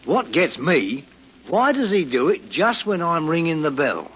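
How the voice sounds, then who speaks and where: A man's voice: fairly high pitch, very animated, a little slow, fairly bright tone, breathy edge, exaggerated voice. An actor playing a puzzled postman in a 1940s radio drama. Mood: puzzled, ironic. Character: puzzled male postman at a death scene. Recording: noisy muffled vintage 1940s radio recording.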